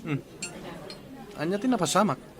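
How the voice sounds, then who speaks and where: A man's voice: high voice, lively intonation, moderate pace, crowd noise. A man with an Ilocano accent playing a frank, advising friend in a radio drama. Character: frank loyal friend Brandon.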